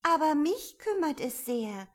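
A woman's voice: high voice, big pitch swings, unhurried, pitched above the performer's own voice. A woman voicing an earnest, truthful little girl who is bewildered and indignant. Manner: indignant, slightly unsure.

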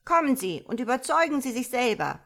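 A woman's voice: high-pitched, big pitch swings, fairly quick, a bit bright, pitched above the performer's own voice, full character voice. A woman voicing a big, gloomy, touchy male frog in a storybook reading, grumbling and dropping in quacks. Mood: glum, complaining. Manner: croaky, grumbling, interjects quacks. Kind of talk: storybook character voice.

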